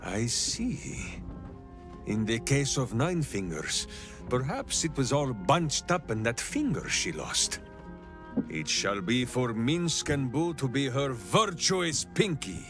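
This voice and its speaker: A man's voice: mid-range voice, big pitch swings, slow. A man playing a big-hearted, simple warrior ranger in a video game, bombastic and oddly formal. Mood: musing, earnest. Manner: bombastic, refers to himself in third person, oddly formal phrasing. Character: big-hearted, simple heroic ranger warrior who talks through his hamster. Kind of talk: theatrical video game character acting. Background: background music.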